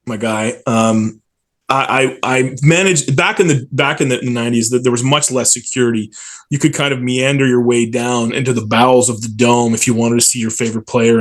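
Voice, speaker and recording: This is a man in his forties with a medium-pitched voice. He tells stories casually, like an interview guest among friends. His manner is enthusiastic and colloquial as he reminisces. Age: 40s. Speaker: stadium PA announcer, interview guest.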